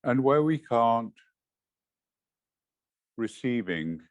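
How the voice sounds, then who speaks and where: A man leading a guided meditation as a teacher, giving gentle, measured instructions in short phrases with pauses. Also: mid-range voice, animated, no room sound, studio quality.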